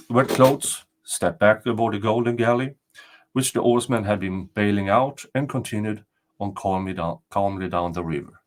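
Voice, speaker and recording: An older man narrating a storybook tale aloud in a deliberate, dramatic way. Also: fairly low pitch. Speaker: storyteller reading folktales.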